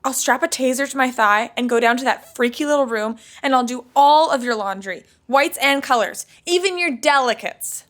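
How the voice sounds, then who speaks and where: A woman's voice: high-pitched, moderate pace, few pauses, fairly bright tone. A woman playing a dramatic, scheming twenty-something in a podcast sitcom, with a theatrical, exaggerated manner. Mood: pleading, excited. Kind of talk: sitcom acting.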